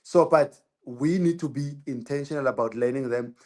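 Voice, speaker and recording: A man's voice: mid-range voice, normal speed, darkish tone. A man speaking on a panel discussion, thoughtful and hesitant, with many fillers.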